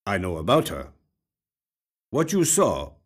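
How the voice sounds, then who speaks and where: A man voicing a weary, grave police detective with deliberate, heavy pauses in a short story reading. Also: on the low side, huge pitch swings, full character voice. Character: weary, grave Spanish-speaking male police detective of cold cases.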